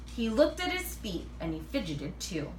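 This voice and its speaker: Animated woman reading a storybook, roomy recording. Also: mid-range voice, moderate pace. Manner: animated, acts out dialogue. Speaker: read-aloud reader. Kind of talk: expressive children's picture book read-aloud. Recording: roomy, distant mic, faint noise.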